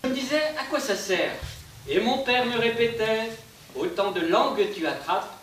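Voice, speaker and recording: A man performer giving an expressive, declamatory theatrical recitation on a stage. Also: high voice, normal speed. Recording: echoey stage, distant mic, faint hiss.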